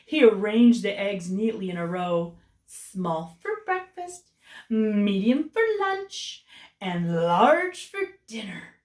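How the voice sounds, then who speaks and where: A woman reading a storybook aloud to young children as a teacher, with animated delivery and chatty asides. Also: medium pitch, slow. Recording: roomy echo, distant microphone.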